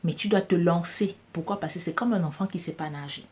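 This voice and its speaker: A woman with a Cameroonian French accent speaks in a very low voice, pressing her points with emphatic repetition. She gives a motivational business talk straight to camera. A faint background noise runs under her voice. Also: fast talker, a little mellow. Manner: emphatic, repeats key phrases for stress. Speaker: business coach vlogger.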